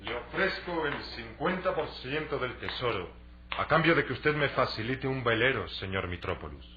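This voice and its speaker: A man playing an earnest young adventurer in a Latin American Spanish radio drama, explaining things deliberately and persuasively. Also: medium pitch, lively intonation, bright, forceful tone, slight background noise. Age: young adult. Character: earnest young athletic adventurer selling a treasure hunt. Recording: dull, lo-fi radio drama recording.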